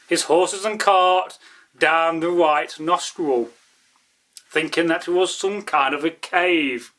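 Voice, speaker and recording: Guy telling an old folk story, bright forceful voice, really slow and dramatic, leans on his words. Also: high voice. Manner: deliberate, emphatic, repeats and builds phrases. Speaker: storyteller, channel host. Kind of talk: dramatic oral folktale storytelling.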